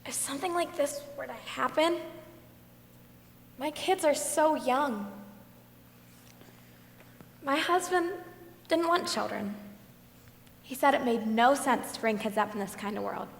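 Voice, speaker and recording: An earnest, troubled mother on a jury, played by a woman on stage, speaks in a high voice. The sound carries a long hall reverb. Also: expressive, a little slow, vocal fry, pauses often, heavily acted. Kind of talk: amateur stage play monologue.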